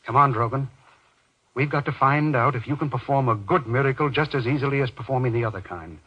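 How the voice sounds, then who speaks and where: A man's voice: medium pitch, fast talker, slightly rough, natural voice. A man playing an earnest, insistent doctor in golden age radio horror acting, commanding and theatrical. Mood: urgent, insistent. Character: earnest, urgent doctor pressing a frightened man. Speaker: actor in a radio horror drama. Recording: dull lo-fi old radio recording.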